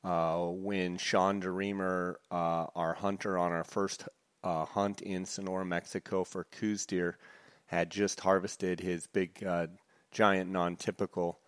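A low, smooth male voice hosting his podcast in a relaxed, chatty way. He talks directly to his listeners as if among friends. His pitch stays level and flat, and the sound is dry and close. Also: slow, no room sound. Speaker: podcast host. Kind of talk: casual podcast intro.

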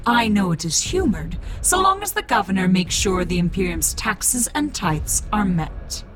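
A woman playing a shrewd, commanding cult priestess in a science fiction audio drama, speaking in a measured, formal way. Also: medium pitch, sing-song, a bit bright, a little hiss or hum. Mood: cool, pointed.

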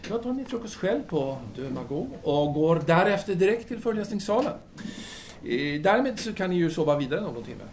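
A man's voice: high-pitched, very animated, a little mellow, slight background noise. A man playing a self-absorbed intellectual philosopher in an audio drama, speaking formally and hesitantly, wordy and absent-minded. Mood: absent-minded, mild.

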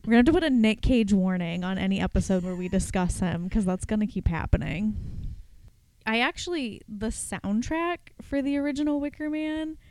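Smooth-voiced young woman, dry and rambling. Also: mid-range voice, fairly quick, no room sound, rough recording. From Ohio. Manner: rambling, wry, breaks into sudden loud outbursts. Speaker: podcast co-host. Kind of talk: casual chat.

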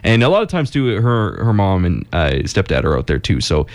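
Man hosting radio, lively intonation, dry sound. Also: sits low, fairly quick, no room sound. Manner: conversational, chuckling, addresses listeners. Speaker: radio host. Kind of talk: casual radio banter.

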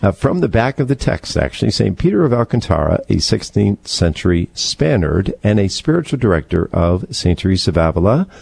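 Deep gravelly man, halting and deliberate. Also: big pitch swings, a little mellow, no room sound. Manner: halting, deliberate, earnest explaining. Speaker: co-host presenting book recommendations. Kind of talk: conversational book discussion.

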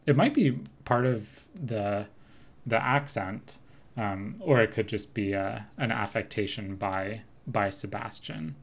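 A man's voice: lowish voice, expressive, takes it slowly, creaky. A man co-hosting a podcast, talking through song lyrics in a thoughtful, analytical way and quoting lines with relish. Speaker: podcast co-host. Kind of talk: casual chat.

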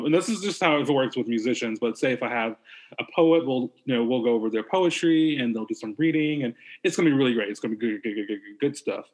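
A man's voice: on the high side, low-quality recording. A man hosting a casual podcast chat, talking in a rambling, upbeat way with lots of fillers. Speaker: podcast host.

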